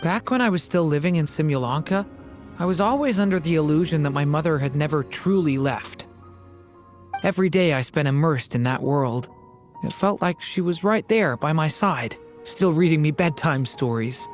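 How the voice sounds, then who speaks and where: Actor as a young dragon boy, soft-spoken, earnest, wistful, light youthful pitch, video game dialogue, music underneath. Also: expressive, moderate pace, natural voice.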